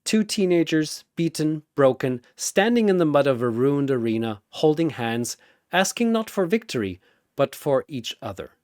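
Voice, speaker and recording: A man's voice: on the high side, sing-song, normal speed, darkish tone, no room sound, studio quality. A man delivering dramatic scripted story narration in theatrical, suspenseful short phrases.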